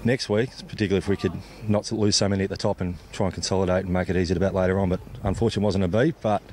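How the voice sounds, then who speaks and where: Deep-voiced, fast-talking Australian sportsman. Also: steady tone, a little mellow. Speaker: cricket club captain. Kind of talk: post-play sports interview. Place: outdoors at a cricket ground. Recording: clear background noise, outdoor ambience.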